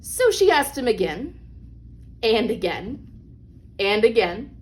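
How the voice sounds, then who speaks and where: A woman reads a children's picture book aloud as a storyteller, with deliberate, emphatic phrasing for young listeners. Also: fairly high pitch, big pitch swings. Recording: clearly noisy background.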